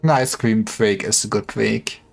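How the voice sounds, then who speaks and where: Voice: medium pitch, fairly even intonation, measured pace, vocal fry, soft background music. A game streamer chatting casually on a livestream, thinking aloud and breaking off mid-thought. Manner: thinking aloud, self-interrupting.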